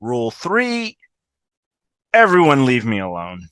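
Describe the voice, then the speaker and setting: Man reading a brash, snarky, selfish woman, animated. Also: mid-range voice, slow, clean tone, no room sound, heavily acted. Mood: defiant. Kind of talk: amateur script table read. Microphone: video call.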